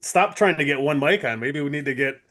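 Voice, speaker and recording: A man's voice: on the high side, lively intonation, brisk, pushed and bright. A man co-hosting a podcast, chatting casually in an agreeable, plain-spoken way. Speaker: podcast co-host. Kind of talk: casual chat.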